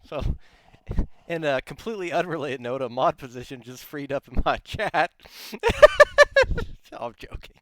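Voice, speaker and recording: A man streaming from home, talking through a gaming mic in a hushed whisper like a telemarketer. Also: mid-range voice, a little slow, no echo, rough recording. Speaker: streamer hosting a guest. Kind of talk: casual stream chat. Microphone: gaming headset mic.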